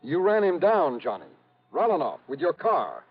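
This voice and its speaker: An American actor playing an investigator's anxious, exasperated colleague in a golden age radio drama, insistent and emphatic. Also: male voice, a little high, huge pitch swings, moderate pace, husky, full character voice. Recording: muffled vintage radio, faint noise.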